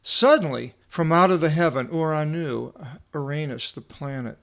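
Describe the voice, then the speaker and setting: Halting man teaching a Bible lesson. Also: fairly high pitch, creaky, fairly bright tone. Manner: halting, with pauses between short phrases. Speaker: Bible teacher and translator presenting a video seminar. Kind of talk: informal verse-by-verse lecture, reading aloud and explaining Greek words.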